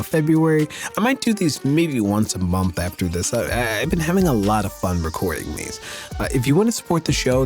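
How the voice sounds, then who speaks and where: A man hosting a podcast, reading history segments and then chatting casually, his voice raspy and a little squeaky from illness. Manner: steady factual reading. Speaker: podcast host.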